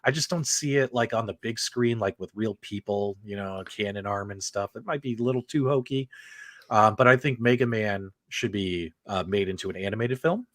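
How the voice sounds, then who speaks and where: A man's voice: lowish voice, a little fast, fairly bright tone, dry recording, studio quality. A man hosting a casual livestream talk show about games, speaking enthusiastically and repeating phrases for emphasis. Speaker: host of a video game talk show.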